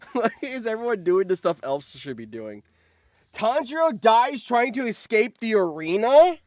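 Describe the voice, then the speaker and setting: A man live-streaming as host, reading out game results with animated, excitable commentary and surprised exclamations. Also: high-pitched, unhurried, dry recording, clean studio sound.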